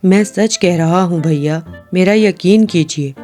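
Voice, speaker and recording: Woman as humble broke woodcutter guy, pleading slowly, cartoon story, music under. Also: on the low side, warm tone, voice pushed down, lightly acted.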